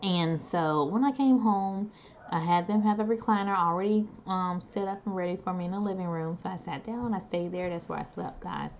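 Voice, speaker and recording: A woman's voice: fairly low pitch, normal speed, muffled recording, slight background noise. A woman talking casually to viewers from home while she recovers after neck surgery, her voice still regaining strength. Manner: chatty, homey, addresses viewers as guys. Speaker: vlogger. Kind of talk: casual talk to camera.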